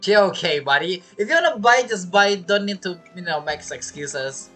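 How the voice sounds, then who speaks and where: A woman's voice: on the low side, animated, moderate pace, fairly bright tone, soft background music. A woman giving casual, amused and teasing commentary while playing a video game, often repeating words hesitantly. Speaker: gamer streamer.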